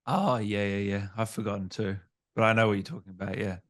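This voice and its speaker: A man's voice: on the low side, fairly even intonation, a little fast, fairly bright tone. A man interviewing a guest as a gaming show host, in a casual, conversational style.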